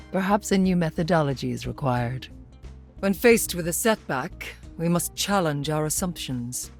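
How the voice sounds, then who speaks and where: A woman's voice: low voice, lively intonation, measured pace, faint music behind. An actress playing a haughty, brilliant, driven female scientist in a video game, speaking in a confident, lyrical and dryly witty way. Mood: measured, reflective. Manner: well-spoken, lyrical, confident, dry. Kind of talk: video game hero voice lines.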